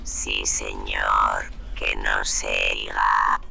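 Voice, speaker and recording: Cocky robot from spain, super slow monotone, slangy jokey, robotic effect, radio play. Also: male voice, creaky, faint music behind, heavily acted. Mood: breezy, welcoming. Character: jokey streetwise old-slang male robot, friendly hacker. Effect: deep robotic voice processing. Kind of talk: scripted audio drama acting.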